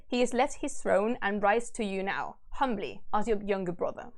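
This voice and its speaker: A woman's voice: mid-range voice, expressive, normal speed, gravelly. A woman reading the words of a noble old king and anxious, loving father in a stately, earnest, formal manner. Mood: stately, pleading.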